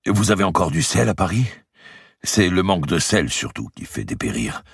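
A man's voice: low-pitched, big pitch swings, moderate pace, vocal fry, slightly rough, dry recording, clean studio sound, pitched above the performer's own voice, full character voice. A man doing a weary, starving head clerk. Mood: gloomy. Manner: gloomy, complaining. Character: hungry clerk heading a trading post, weary.

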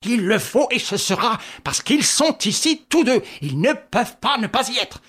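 An audiobook narrator voicing a stubborn, excitable veteran police inspector who talks in agitated, insistent bursts. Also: mid-range voice, moderate pace, husky, pitched above the performer's own voice.

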